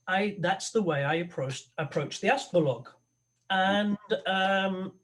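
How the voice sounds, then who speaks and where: A man, an opera tenor, talking as a guest on a video call, halting and thoughtful with many restarts. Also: fairly high pitch. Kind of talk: conversational interview over a video call.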